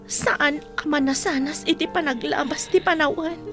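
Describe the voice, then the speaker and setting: Very high-pitched, earnest young Ilocana sweetheart. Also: female voice, fairly even intonation, normal speed, over music. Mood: tender, longing. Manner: earnest, sentimental. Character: devoted, sincere young woman in love. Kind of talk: Ilocano radio drama acting.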